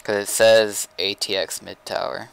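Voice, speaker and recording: A man chatting casually while gaming, low-key and informal, sometimes half talking to himself. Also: steady tone, measured pace, vocal fry, clean tone. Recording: faintly noisy gaming mic.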